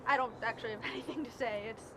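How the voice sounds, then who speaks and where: Quirky upbeat young woman, high voice, kinda awkward comedy chat, noisy outside. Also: steady tone, rapid-fire, pushed and bright. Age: young adult. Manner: hesitant, self-deprecating, quirky. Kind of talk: naturalistic comedy series acting, conversational. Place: outdoors in a park. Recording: noisy outdoor ambience, traffic hum.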